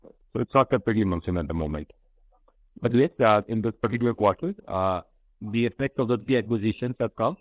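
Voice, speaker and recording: A man with an Indian accent and a fairly low voice speaks as a company executive on a call. He explains things in a measured, hesitant manner. The sound is dry, with very little room. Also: no room sound, rough recording. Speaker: company executive answering questions on an earnings call. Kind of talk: business conference call, unscripted answers. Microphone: conference call line, close and dry.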